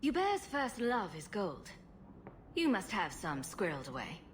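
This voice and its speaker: An actress voicing a confident, sarcastic rogue adventurer in a video game, cool and commanding. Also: female voice, medium pitch, huge pitch swings, unhurried, slight background noise. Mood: firm, persuasive. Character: confident, wry young female rogue adventurer. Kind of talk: video game cutscene acting.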